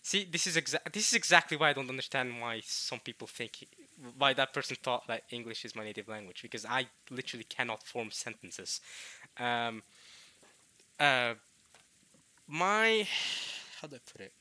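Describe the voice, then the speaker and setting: A young man from Norway, chatting casually to viewers in a halting way, restarting words often with chuckling self-deprecation. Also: mid-range voice, lively intonation, pushed and bright, no echo. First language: Norwegian. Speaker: visual novel let's player.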